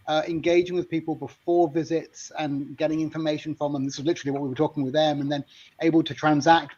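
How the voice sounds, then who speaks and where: A man's voice: mid-range voice, darkish tone. A man speaking as a panelist, explaining things informally and conversationally with anecdotes, on dull, lo-fi call audio. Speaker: panelist sharing research findings.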